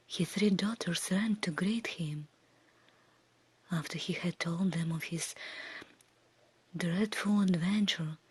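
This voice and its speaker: A woman reading a fairy tale as ASMR in a soft, breathy, soothing whisper. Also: lowish voice. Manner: slow, hushed, soothing delivery. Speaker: ASMR storyteller.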